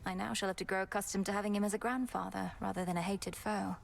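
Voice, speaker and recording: Actress as composed young goddess princess, faint noise. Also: female voice, medium pitch, fairly even intonation, creaky, close to an everyday voice. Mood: composed, resolute. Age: young adult. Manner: formal, measured, courteous diction. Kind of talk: video game voice acting.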